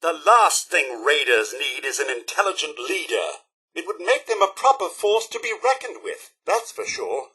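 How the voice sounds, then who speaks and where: An actor playing a polite, fussy robot butler in a video game, with a British accent and a proper, theatrical delivery. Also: male voice, on the high side, sing-song, moderate pace, sharp tone, breathy edge, full character voice. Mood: intrigued, earnest. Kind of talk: scripted video game companion lines.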